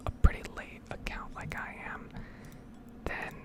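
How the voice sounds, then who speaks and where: Whispering woman, soft ASMR game commentary. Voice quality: breathy, unvoiced whisper. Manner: unhurried, rambling, thinking aloud. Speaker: gaming ASMR video host.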